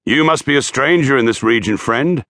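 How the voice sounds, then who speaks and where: A man's voice: medium pitch, normal speed, fairly bright tone, no room sound, voice pushed up, close to an everyday voice. A man voicing a talkative, self-righteous Puritan townsman in an audiobook, speaking in an emphatic, old-fashioned, declamatory way. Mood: gossipy, emphatic.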